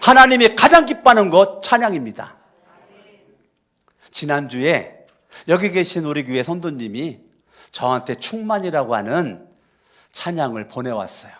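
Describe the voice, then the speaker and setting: A man preaching a sermon as pastor in a church, moving between quiet storytelling and loud, fervent exhortation. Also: on the high side, big pitch swings, normal speed, long pauses. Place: church sanctuary.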